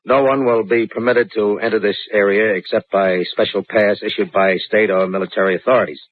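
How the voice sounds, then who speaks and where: An actor playing a militia general who reads a stiff official proclamation in a 1930s radio drama. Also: male voice, lowish voice, steady tone, a little fast, lightly acted. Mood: formal. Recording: tinny 1938 radio broadcast.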